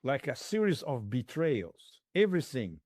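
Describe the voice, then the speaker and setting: A man's voice: medium pitch, sing-song, measured pace, a little mellow. A man giving political commentary as an interview guest, heard faintly and distantly under a dub.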